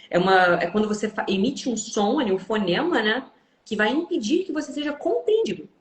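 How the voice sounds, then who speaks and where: A woman's voice: on the high side, echoey room. A woman with a Brazilian Portuguese accent, an English teacher explaining her views in an encouraging way as a guest on a live stream. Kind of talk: casual live-stream conversation.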